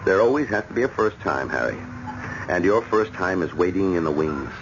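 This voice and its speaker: A cynical American bachelor in an old radio play, low and lively in pitch. He teases and insinuates with smug theatrical emphasis. The recording is dull, with clear noise behind it. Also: a little fast. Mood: insinuating. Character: cynical, needling bachelor brother-in-law, worldly and smug. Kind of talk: old-time radio drama acting. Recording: muffled, noisy vintage radio drama recording.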